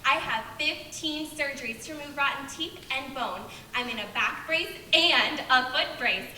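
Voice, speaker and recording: A woman's voice: normal speed, sharp tone. A young woman playing a grieving factory worker racked with pain, in an anguished stage monologue. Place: theater stage. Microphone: distant from performer. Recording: distant echoey stage recording, faint rumble.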